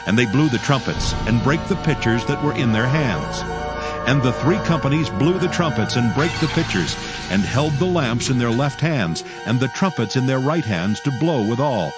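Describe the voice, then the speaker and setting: A man's voice: medium pitch, animated, normal speed. Male scripture narrator over prominent music.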